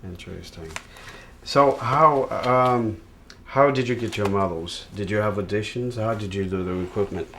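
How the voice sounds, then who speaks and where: A man's voice: on the low side, normal speed, a bit bright, slight background noise. A man hosting a television interview program, presenting and asking questions in formal, wordy phrasing. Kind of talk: TV interview hosting and promo announcements.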